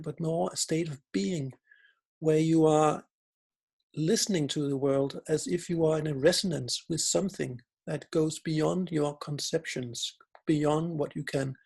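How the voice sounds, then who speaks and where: A man's voice: a little high, vocal fry, a little mellow, breathy edge. A man with a Danish accent, a philosopher giving a reflective conference talk in English as a second language, often restarting and searching for words. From Denmark. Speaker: philosopher and researcher.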